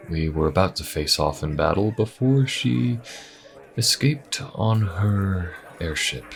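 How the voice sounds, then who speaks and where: A man playing a wry, weary Inquisition agent in a fantasy audio roleplay, talking casually and dryly with musing pauses. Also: sits low, gravelly, a bit bright, full character voice. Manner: casual, dry, musing, with sighs and hesitant pauses. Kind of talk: fantasy audio roleplay, conversational monologue to the listener.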